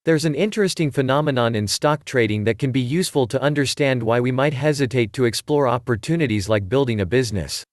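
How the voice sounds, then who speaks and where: A man narrating a scripted marketing explainer in a measured, articulate, instructional way. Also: mid-range voice, dry recording, clean studio sound. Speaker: voice-over narrator.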